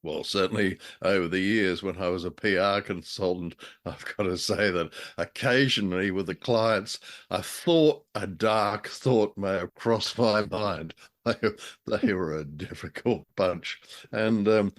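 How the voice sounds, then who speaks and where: A man's voice: sits low, a little slow. A man with an Australian accent co-hosting a show in casual, unscripted chat, with a jokey, dry way of telling things. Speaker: podcast co-host.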